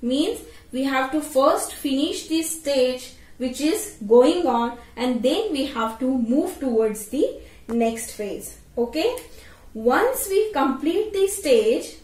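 Female tutorial presenter, very unhurried delivery with repeated phrases, recorded in an echoey room away from the mic. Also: high-pitched, animated, darkish tone. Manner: repeats phrases, adds checking tags. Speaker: teacher. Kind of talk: explanatory tutorial lecture. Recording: roomy echo, distant microphone.

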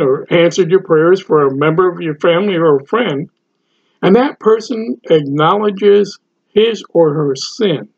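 Older pastor preaching slowly, husky voice. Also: male voice, sits high, darkish tone. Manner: slow, deliberate preaching to camera. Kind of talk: sermon. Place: home study with bookshelves, indoors. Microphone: webcam, seated at computer. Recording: dull lo-fi webcam audio.

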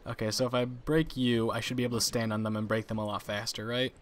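A young man casually commenting on his own gameplay, chatty and quick to laugh at his mistakes. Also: medium pitch, fairly even intonation. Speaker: gaming channel host.

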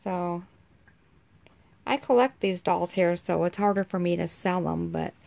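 A woman in her fifties with an American accent, chatting casually into a handheld phone camera, folksy and quick to laugh. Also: on the low side, gravelly, a little mellow, dry recording. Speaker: vlogger. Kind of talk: casual vlog chat. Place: inside a vehicle.

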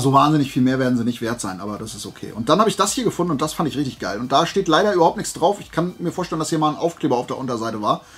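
A man's voice: a little high, breathy edge. A man from Germany chatting casually in a haul vlog as a collector, in a rambling, hesitant way, often correcting himself. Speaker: YouTube collector showing flea market finds.

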